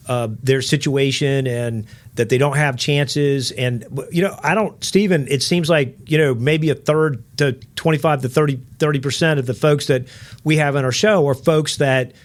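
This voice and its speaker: A man's voice: medium pitch, normal speed. A man co-hosting a podcast interview, talking in a chatty, rambling way with the odd joke. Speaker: podcast co-host.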